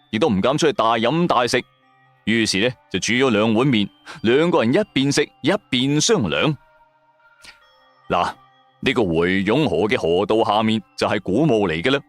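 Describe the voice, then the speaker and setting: A man's voice: mid-range voice, sing-song, moderate pace, dry recording, faint music behind. A man with a Cantonese accent, narrating a first-person story in a dramatic audio drama style.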